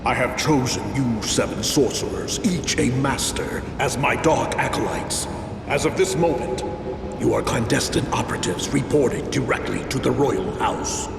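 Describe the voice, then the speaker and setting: An actor playing a manipulative male sorcerer and royal regent in a video game cutscene, theatrical and commanding, with deliberate oratory. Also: mid-range voice, gravelly, over music, close to an everyday voice. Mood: cold, menacing. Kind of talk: theatrical scripted game drama.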